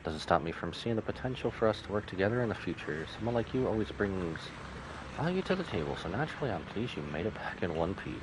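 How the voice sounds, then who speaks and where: Man reading as game corporate trader, shrewd, polite, formal, lowish pitch, lively intonation. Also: fast talker, noisy background. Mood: courteous.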